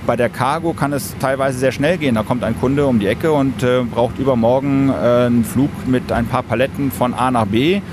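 A man, an airline pilot, giving thoughtful, measured explanations in an interview. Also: mid-range voice, steady tone. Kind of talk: interview answers, explanatory chat. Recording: clear vehicle-like ambient noise.

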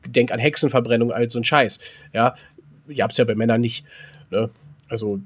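A man's voice: medium pitch, steady tone, moderate pace, husky. A German man co-hosting a podcast in casual, unscripted banter, speaking in a blunt, colloquial way with crude slang. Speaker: podcast co-host.

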